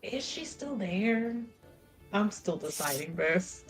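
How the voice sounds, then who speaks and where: A woman's voice: medium pitch, very animated, slow, faint music behind. A woman playing a tabletop roleplaying game, casually narrating her character's words and actions.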